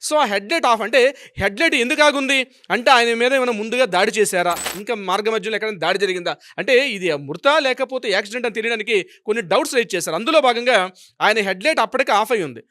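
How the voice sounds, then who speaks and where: A Telugu man speaks as a legal expert in a high voice and at a quick pace. He explains emphatically, circling back over points and posing questions as he goes. The sound is close and dry. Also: animated, bright, forceful tone, no echo. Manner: emphatic, repeats himself and poses rhetorical questions. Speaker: advocate commenting as a legal expert. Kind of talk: explanatory legal news commentary.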